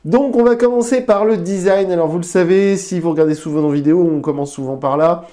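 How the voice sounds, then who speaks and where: A man hosting a casual review of a new phone, his voice a little high but soft and dark in tone. A cold leaves him stuffy and nasal. He rambles easily, restarting and filling pauses as he goes. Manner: chatty, hesitant fillers and restarts. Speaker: tech reviewer, channel host. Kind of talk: casual tech review to camera. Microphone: lapel mic.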